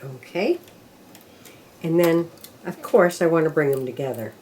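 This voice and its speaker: A woman's voice: low-pitched, expressive, a little slow, darkish tone, a little hiss or hum. An older woman casually demonstrating machine knitting step by step, counting rows aloud as she works. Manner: talks to herself while working, counting rows aloud. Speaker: knitting instructor.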